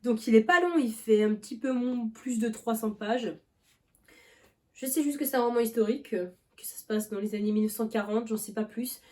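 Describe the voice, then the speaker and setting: A woman's voice: a little high, moderate pace. A young woman vlogging about books in a loose, rambling chat, full of fillers and restarts, with a cough now and then. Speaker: book vlogger. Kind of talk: casual chat.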